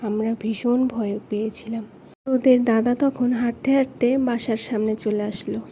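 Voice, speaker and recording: Cartoon storytelling grandmother, played by a woman: higher-pitched, mellow, earnest, recalling a frightening night. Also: fairly even intonation, moderate pace, a little hiss or hum, lightly acted. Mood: fearful, reminiscing. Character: village grandmother telling grandchildren a spooky memory.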